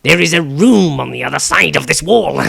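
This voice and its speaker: A man's voice: a little high, expressive, normal speed, creaky, pitched above the performer's own voice, full character voice. A man reading a story aloud, voicing a smug, arrogant male halfling thief who talks sharply. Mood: snappish. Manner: sharp, snappish.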